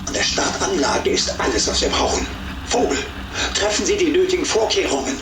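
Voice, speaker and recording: A curt, defiant officer with strongly varied pitch, commanding in a war video game. The voice is distant and echoey amid loud noise. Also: male voice, expressive, fairly quick.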